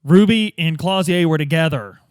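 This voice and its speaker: A man's voice: fairly high pitch, moderate pace, no room sound, clean studio sound, natural voice. A man playing a dogged, exasperated police detective in a tabletop game, blunt, insistent and informal. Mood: firm, confronting.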